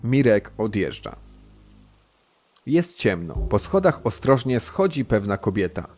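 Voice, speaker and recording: A man's voice: lowish voice, sing-song, a little slow, darkish tone. A man reading scripted present-tense narration for an audio drama.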